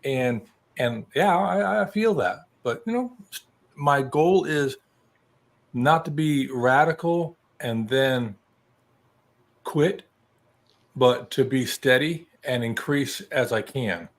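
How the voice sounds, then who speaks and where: A man's voice: mid-range voice, animated, slow pace, long pauses. A man in his early sixties from New Mexico, a guest chatting casually in a folksy, self-deprecating way. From New Mexico, United States. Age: early 60s. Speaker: guest joining a live chat show. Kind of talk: casual chat.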